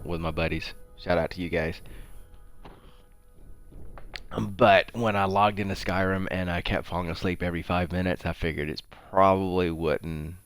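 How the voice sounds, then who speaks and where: A man's voice: sits low, no room sound, clean studio sound. A man with a congested, sniffly head cold, giving casual, rambling game commentary as the host of a livestream.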